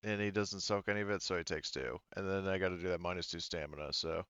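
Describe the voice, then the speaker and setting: A man with a deep-set, resonant voice runs a tabletop roleplaying session. He talks through rules in detail, thinking aloud as he goes. The sound is close and a little muffled. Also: quick pace, a bit bright, clear, resonant tone, no room sound. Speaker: game master. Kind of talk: casual tabletop game refereeing. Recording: dull, slightly muffled close recording.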